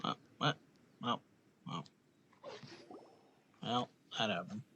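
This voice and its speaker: A man's voice: on the low side, takes it slowly, background noise. A man giving casual, offhand, self-deprecating commentary as he plays a video game. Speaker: game streamer.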